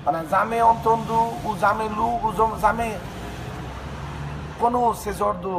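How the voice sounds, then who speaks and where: Guy at a street protest, high voice, slow, stops and restarts, noisy street recording. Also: fairly even intonation. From Bangladesh. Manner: halting, earnest, many fillers and restarts. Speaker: human rights association president. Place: outdoors on a city square at a protest.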